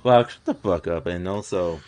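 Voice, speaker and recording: A young man in his twenties hosts a reaction video at home, chatting excitably and swearing. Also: sits low, moderate pace, faint music behind. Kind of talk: casual chat. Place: home room with curtains.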